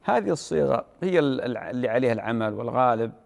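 A man answers listeners' religious questions at a brisk pace. His voice is gravelly with plenty of fry. He speaks in a measured, reassuring way. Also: medium pitch, lively intonation, darkish tone. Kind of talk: call-in religious advice show.

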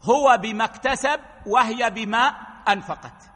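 A man teaching a religious lesson, explaining rulings step by step and quoting sayings with slow emphasis. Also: very high voice, fairly bright tone, big-room sound. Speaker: preacher.

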